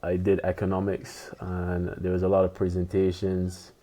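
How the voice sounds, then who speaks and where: A man in his twenties from Barbados, a pro tennis player chatting as a podcast guest, relaxed and loose with lots of "you know". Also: deep voice, moderate pace. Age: 20s. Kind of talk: casual interview chat.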